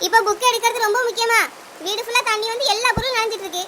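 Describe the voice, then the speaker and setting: A woman playing a worried village mother who talks fast and urgently in an amateur audio drama. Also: very high-pitched, fairly even intonation, bright, forceful tone. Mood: scolding, soothing. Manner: urgent, anxious, fast-talking. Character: worried village mother, warm elder sister figure.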